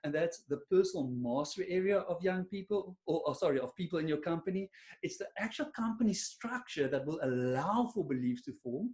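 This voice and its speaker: A man's voice: high-pitched, expressive, a little fast, creaky, darkish tone. A man with an Afrikaans South African accent presenting a webinar on a video call, chatty and self-deprecating, with many fillers and restarts. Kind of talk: online webinar talk to a silent audience.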